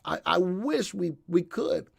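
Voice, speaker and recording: A man's voice: high-pitched, very animated, slow, gravelly, breathy edge, no echo, studio quality. A man hosting a live market show, losing his voice, chatting in a folksy, rambling way and reading out viewer comments. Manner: folksy, rambling, thinks aloud. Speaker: market analysis show host.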